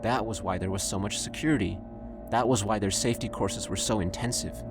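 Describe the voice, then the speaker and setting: A man reading scripted dramatic story narration in a solemn, deliberate manner. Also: fairly low pitch. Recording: loud music under narration.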